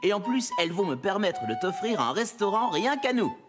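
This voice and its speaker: An actor playing a poor, cheerful, sly trickster husband in a cartoon, theatrical, coaxing and exclamatory. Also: male voice, high voice, big pitch swings, a little fast. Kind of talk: cartoon acting. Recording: loud music under the voice.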